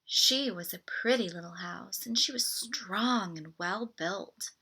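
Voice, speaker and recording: A woman reading a picture book aloud to children in an expressive, deliberate way. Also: medium pitch, husky, low-quality recording. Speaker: storybook read-aloud presenter.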